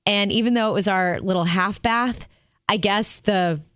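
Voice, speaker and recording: A woman co-hosting a radio show, telling a story in an animated way and acting out the quotes. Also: medium pitch, normal speed, no room sound, studio quality. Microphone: studio microphone.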